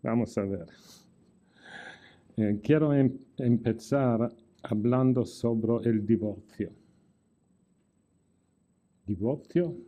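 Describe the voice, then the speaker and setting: Slow, dark-voiced older man lecturing. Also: mid-range voice, dull audio. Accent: Italian-tinged Spanish. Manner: deliberate, wry, restarts words in a non-native Spanish. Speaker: guest lecturer. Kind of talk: formal lecture with dry jokes.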